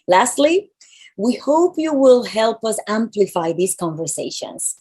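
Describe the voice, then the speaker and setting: A woman with a deep voice and a mild Spanish accent, reading scripted welcome announcements as the event host over a video call from a large room. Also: big pitch swings, measured pace, a little mellow, breathy edge.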